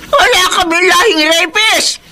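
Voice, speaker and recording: An actress playing an excitable, defensive family woman in a radio drama, exclamatory, with a Filipino accent. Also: very high voice, unhurried, heavy background noise. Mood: scandalized. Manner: exclamatory, indignant. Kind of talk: Tagalog radio drama acting.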